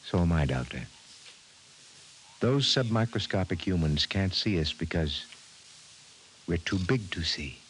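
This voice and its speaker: A reflective scientist professor in a vintage radio play. His low voice carries solemn, measured oratory over faint tape hiss. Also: animated, rough recording. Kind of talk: 1950s radio drama acting. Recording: hissy vintage 1950s radio recording.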